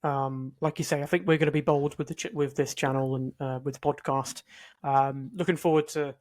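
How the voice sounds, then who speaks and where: A man with a somewhat high voice co-hosts a podcast. He chats casually and comes across as agreeable and easygoing. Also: fairly even intonation, brisk, a little mellow. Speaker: podcast co-host. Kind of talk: casual chat.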